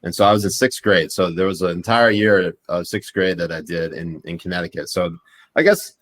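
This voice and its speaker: A man's voice: low-pitched, fairly even intonation, brisk, fairly bright tone. A man in his thirties talking casually as a podcast guest, rambling with many fillers. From United States, partly raised in Pakistan. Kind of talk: casual chat.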